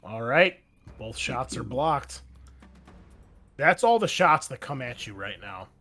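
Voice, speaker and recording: A man running a tabletop game as game master, in a casual, relaxed way with frequent fillers. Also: mid-range voice, slow, soft background music.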